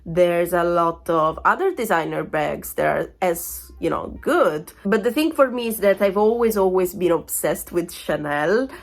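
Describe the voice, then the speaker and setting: A woman's voice: on the low side, animated, measured pace, gravelly, hardly any pauses, soft background music. A woman in her thirties chatting through a vlog unboxing, still getting over a cold, rambling with plenty of fillers and small laughs. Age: 30s. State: recovering from a cold, voice sounds off. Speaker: vlogger. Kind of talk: casual unboxing chat.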